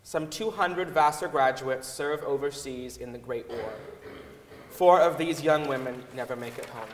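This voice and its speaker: A man's voice: mid-range voice, a little slow, slight background noise. Young male narrator in echoey hall. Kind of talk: scripted narration at a staged reading. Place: large wooden concert hall stage.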